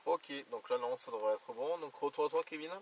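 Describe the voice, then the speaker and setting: A man's voice: mid-range voice, a little fast, a little mellow. A man from southern France working as a radio operator, chatting casually with procedural call-and-reply phrasing. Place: outdoors by a roadside. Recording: distant, noisy two-way radio chatter.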